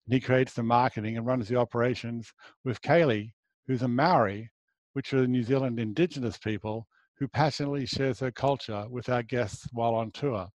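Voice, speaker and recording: A man's voice: mid-range voice, fairly even intonation, fairly quick, no room sound, rough recording. Brief: Australian male travel host, upbeat and affirming, dry close-mic sound. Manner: enthusiastic, affirming. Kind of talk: scripted intro then interview chat.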